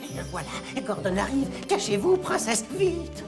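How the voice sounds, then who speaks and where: A woman's voice: high voice, very animated, moderate pace, over loud music. A woman voicing a polite, earnest, learned little creature in a fairy-tale cartoon, with courteous, slightly formal speech. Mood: urgent. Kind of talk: animated fairy-tale dub.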